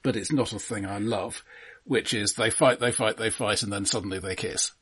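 A man co-hosting a film podcast, chatting casually about movies in a measured, halting, dryly wry way. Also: lowish voice, a bit bright, breathy edge. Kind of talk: casual film review chat.

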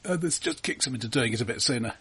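Husky-voiced man giving dry film opinions. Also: mid-range voice, lively intonation, very fast, a bit bright. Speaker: podcast co-host. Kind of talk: casual film discussion.

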